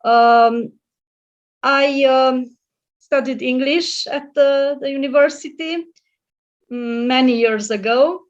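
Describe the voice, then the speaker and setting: A woman's voice: sits high, fairly even intonation, takes it slowly, pauses often. A middle-aged woman from Romania, a librarian and English tutor, speaking as a guest in a casual video-call interview, hesitant with many fillers and restarts. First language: Hungarian. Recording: muffled lo-fi audio.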